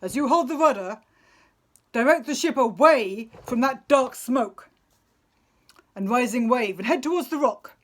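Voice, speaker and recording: A woman's voice: a little high, animated, measured pace, pauses often. A woman voicing a brave male Greek sea captain, giving a firm, rallying speech in epic storybook style. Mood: rousing, commanding.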